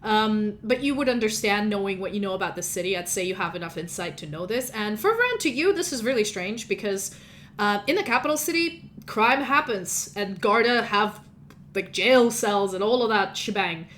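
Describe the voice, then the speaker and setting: A woman whose first language is Russian runs a tabletop game session, narrating in a rambling, self-correcting way and laughing at her own slips. Her voice sits at a middle pitch. A faint hiss of background noise runs under her speech. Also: expressive, normal speed, fairly bright tone. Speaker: game master.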